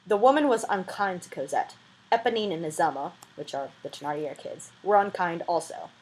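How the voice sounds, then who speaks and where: A woman's voice: lowish voice, lively intonation, brisk, low-quality recording. A woman reading a classic novel aloud as an amateur audiobook reader, with a dramatic, emphatic delivery and an informal touch.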